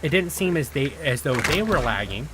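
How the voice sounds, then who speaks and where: A man talking his viewers through a game in a rambling, easygoing way, his voice worn and starting to go. His pitch sits in an ordinary middle range. A steady hiss of noise and mouse clicks runs under the recording. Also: moderate pace. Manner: rambling, chatty, talks to viewers while playing. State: losing his voice. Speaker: gaming video host. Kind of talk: casual gameplay commentary.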